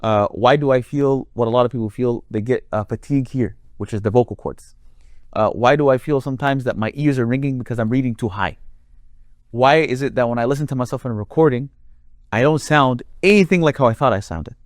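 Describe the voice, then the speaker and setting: A man with a deep voice, a Quran reciter explaining his craft in a conversational interview, building his points with long worked examples. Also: brisk, no room sound, studio quality.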